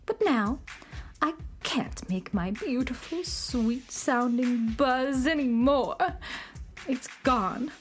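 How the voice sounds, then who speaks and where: A woman's voice: sits high, slow pace, faint music behind. A woman playing a vain, weepy little alien bee in a children's radio drama, boastful and full of self-pity. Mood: boastful, tearful. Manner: boastful, melodramatic, self-pitying.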